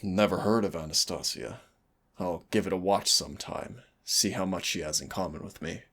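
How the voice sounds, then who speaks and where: A man voicing a gentle, affectionate demon prince in an intimate roleplay audio, husky and gravelly, speaking tenderly and without hurry. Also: deep voice, exaggerated voice. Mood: wistful, fond. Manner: tender, unhurried, wistful storytelling. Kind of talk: intimate roleplay audio acting, soft and soothing.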